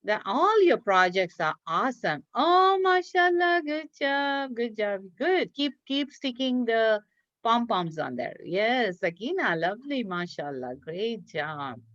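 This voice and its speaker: A woman with a slightly high voice leads an online lesson for young children. She takes her time and encourages each pupil with praise. The sound is dull and lo-fi, like a video call. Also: sing-song, a little slow, fairly bright tone, no room sound. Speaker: children's religious school teacher leading a craft class.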